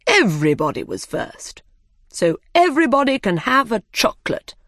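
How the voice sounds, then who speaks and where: A woman voicing a pompous, bossy male dodo in a children's storybook, with slow, deliberate speech. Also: fairly high pitch, sing-song, fairly bright tone, no echo, clean studio sound, voice pushed up, full character voice. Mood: solemn. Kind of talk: children's storybook character reading.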